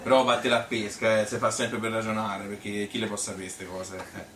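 A man's voice: on the low side, level tone, a little fast, fairly bright tone. A man playing a chatty, self-amused Tuscan woman who rambles through her courtship memories in a folksy theatrical monologue. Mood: amused, nostalgic. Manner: rambling, folksy, filler-laden. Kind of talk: theatrical monologue, colloquial storytelling. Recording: echoey room, distant mic, hissy noise.

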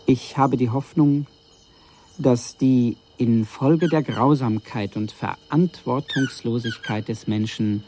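An actor playing a solemn dignitary giving a stately, rhetorical ceremonial speech in a radio drama. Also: male voice, mid-range voice, slow pace, mellow, a little hiss or hum, lightly acted. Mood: solemn, hopeful. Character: solemn dignitary giving a grandiose public thank-you speech.

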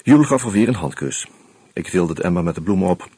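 Wry, measured narrator, very raspy and lowish, dry scripted radio drama storytelling. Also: male voice, lively intonation, dark tone, no echo, full character voice. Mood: wry, matter-of-fact. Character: wry male first-person narrator, fond of a drink.

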